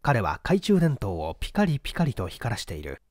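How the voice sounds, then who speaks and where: A man narrating a novel in a measured, theatrical storytelling manner. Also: mid-range voice, wildly swooping pitch, dry recording, studio quality. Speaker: audiobook narrator. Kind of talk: dramatic literary novel reading.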